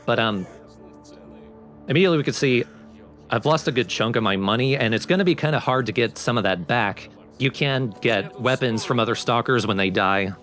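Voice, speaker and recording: A man's voice: medium pitch, lively intonation, normal speed, a bit bright, faint music behind. A man hosting a let's play with casual, explanatory game commentary.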